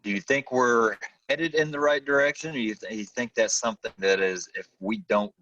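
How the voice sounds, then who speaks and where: A man's voice: medium pitch, moderate pace, fairly bright tone, dry recording. A man hosting a podcast interview, rambling and hesitant as he searches for his words. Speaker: podcast host. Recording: dull, distant dry remote audio.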